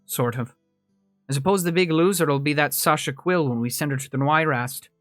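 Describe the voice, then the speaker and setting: A man's voice: a little high, a little fast, a bit bright, no room sound, soft background music, natural voice. A man voicing a dry, wry, seasoned female law officer in a tabletop game. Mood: reassuring.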